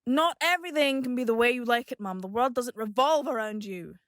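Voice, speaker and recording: A woman's voice: a little high, brisk, dry recording, studio quality, natural voice. A woman acting a fed-up, blunt young adult daughter in an audio drama, conversational and sardonic. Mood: defiant.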